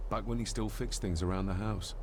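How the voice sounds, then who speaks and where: An actor playing a curious, courteous but impatient young gentleman heir in a video game drama, formal and questioning. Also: on the low side, steady tone, a little fast, noisy background, close to an everyday voice. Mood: earnest, curious. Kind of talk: video game drama dialogue and inner monologue.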